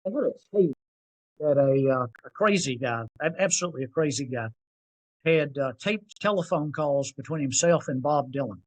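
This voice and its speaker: A man in his sixties with a Southern US accent, a podcast guest telling stories in an animated, self-deprecating way. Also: fairly high pitch, moderate pace, rough recording. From North Carolina. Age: 60s. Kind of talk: storytelling interview.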